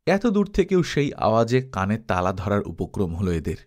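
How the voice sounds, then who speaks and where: A man narrating an adventure story as an audiobook, in an expressive, suspenseful, dramatic style. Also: lowish voice, moderate pace.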